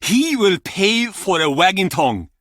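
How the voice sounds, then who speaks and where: Embittered old sea dog for adventure game: gruff, blunt, high for a man, very swooping delivery. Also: big pitch swings, fairly bright tone, no echo, clean studio sound, full character voice. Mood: defensive, gruff. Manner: theatrical, blunt, halting old-salt turns of phrase. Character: gruff, embittered, grieving old Icelandic fisherman and pub regular. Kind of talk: video game character acting.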